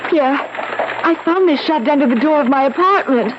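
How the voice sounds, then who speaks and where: An actress playing a willful, anxious young Parisian sweetheart in a 1970s radio drama, insistent and dramatic. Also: female voice, high-pitched, animated, normal speed. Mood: urgent, anxious. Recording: dull, very noisy 1970s radio recording.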